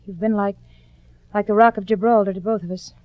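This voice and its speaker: An actress in an old-time radio drama playing a devoted, grateful wife with an earnest, emotional manner. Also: female voice, medium pitch, steady tone, a little fast, no echo, narrow, tinny audio, natural voice. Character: devoted, grateful wife leaning on family friend.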